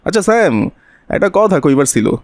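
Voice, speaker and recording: A man's voice: high-pitched, big pitch swings, normal speed, no echo, studio quality. Man acting sly, greedy cartoon bicycle thief. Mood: falsely friendly. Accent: colloquial Bangladeshi Bengali. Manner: sly, scheming, rustic colloquial talk.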